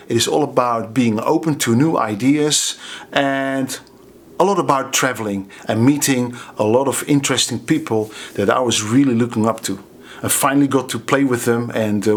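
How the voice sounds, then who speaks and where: A middle-aged man from the Netherlands speaks with a clear Dutch accent. His voice sits at an ordinary, mid pitch. He talks casually and anecdotally, and is quick to laugh at his own stories. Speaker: drummer. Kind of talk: casual storytelling to camera.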